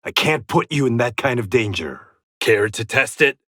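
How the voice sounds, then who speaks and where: A stern, proud blind swordsman from a fighting game. His mid-pitched voice is sharp and forceful, swinging widely in pitch. He delivers terse, confident taunts with a wry edge, recorded completely dry. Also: lively intonation, normal speed, dry recording. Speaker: video game fighter character. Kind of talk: dramatic fighting game voice lines.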